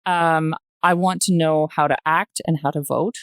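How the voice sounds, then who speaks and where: An older woman as a podcast guest, telling stories and giving opinions conversationally, with deliberate, emphatic phrasing. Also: deep voice, steady tone, dry recording. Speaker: podcast guest, retired journalist.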